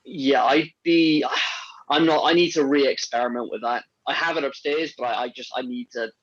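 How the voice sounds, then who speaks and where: A man's voice: medium pitch, normal speed, no echo, dull audio. A man chatting casually about health supplements, rambling with many restarts and fillers. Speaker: podcast guest on men's health. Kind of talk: casual conversation.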